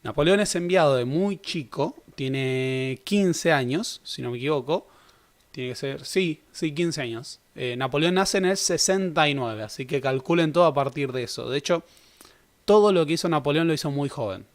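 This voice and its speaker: A man's voice: fairly high pitch, normal speed. A young man giving a casual, conversational history lecture. He corrects himself often and adds joking asides. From Argentina. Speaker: history teacher.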